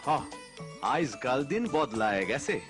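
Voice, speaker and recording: A man voicing an excitable, grumpy middle-aged village uncle in a cartoon, with a rural Bangladeshi accent and music behind. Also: medium pitch, unhurried. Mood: softened, pleased. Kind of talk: cartoon voice acting. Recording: music under the voice.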